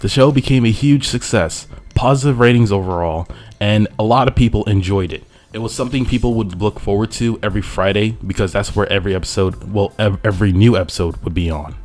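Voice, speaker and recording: A man's voice: lowish voice, a little fast, darkish tone. A man giving informal, conversational commentary as a reviewer in a loosely scripted video essay. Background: background music.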